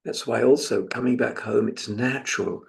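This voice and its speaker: Gravelly older British man guiding meditation. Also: medium pitch, steady tone. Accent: British English. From England. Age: 70s. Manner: unhurried, reflective, gently instructive with pauses. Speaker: meditation teacher. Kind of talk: guided meditation.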